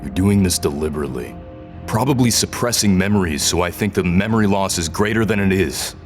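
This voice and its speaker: Man playing an extremely deep-voiced, stubborn prisoner. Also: expressive, faint music behind. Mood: defiant. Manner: halting, guarded, stubborn. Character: defiant, disoriented male prisoner, weary but stubborn. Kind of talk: scripted audio drama dialogue.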